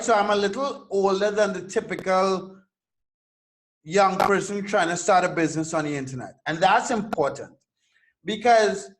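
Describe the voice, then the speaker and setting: A man in his thirties from Trinidad and Tobago with a notably high voice. He talks as an interview guest, animated and loose, dropping curses and stumbling over repeated words as he tells his story. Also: low-quality recording. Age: 30s. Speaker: guest entrepreneur.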